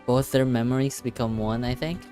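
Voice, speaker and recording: A streamer giving casual live reaction commentary on a game, invested and excitable, muttering reactions. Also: mid-range voice, a little fast, soft background music.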